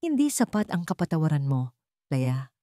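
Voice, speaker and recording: A woman's voice: deep voice, big pitch swings, moderate pace, dark tone, no room sound, studio quality. A woman voicing a remorseful billionaire husband who pleads sincerely in a romance audio story. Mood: sincere, pleading.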